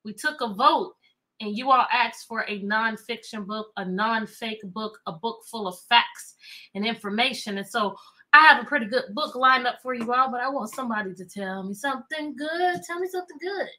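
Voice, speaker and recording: A middle-aged American woman hosting a chatty, interactive livestream for children, animated and repeating phrases and questions to draw listeners in. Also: fairly high pitch, normal speed. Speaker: teacher, children's read-aloud host. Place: indoor room with bookshelves.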